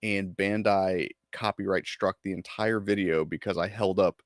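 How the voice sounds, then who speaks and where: A laid-back man co-hosts a live stream with a fairly low voice. His sound is dry and close, with no room around it. He agrees easily and tells stories in an unhurried way. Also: steady tone, no echo. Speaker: co-host. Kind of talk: casual live-stream chat.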